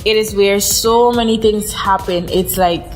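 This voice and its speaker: A woman's voice: medium pitch, steady tone, unhurried, a little mellow. A young woman in her twenties chats on a podcast in an easy, playful way. She laughs a lot and drifts from point to point, with faint music behind her. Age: 20s. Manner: laughs often, playful and rambling. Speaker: podcast co-host. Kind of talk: casual chat.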